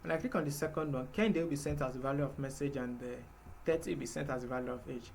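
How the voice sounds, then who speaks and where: A man's voice: medium pitch, fast, gravelly, a little mellow, slight background noise. A man teaching a live programming class, explaining patiently step by step with fillers. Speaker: programming instructor.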